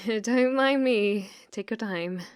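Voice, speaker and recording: A woman performs a sweet British shop clerk for an ASMR roleplay, with an obsessive streak under the shyness. She speaks slowly and softly in a hesitant, solicitous way, very close and dry with no room sound. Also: medium pitch, smooth, no room sound. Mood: helpful, sheepish. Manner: hesitant, apologetic, solicitous, with soft giggles. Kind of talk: soft-spoken ASMR roleplay acting.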